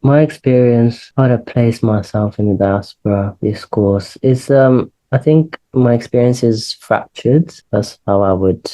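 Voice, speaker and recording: A man from Italy, a podcast guest, answering thoughtfully with pauses and fillers. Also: medium pitch, dark tone, poor audio. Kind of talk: reflective interview answers.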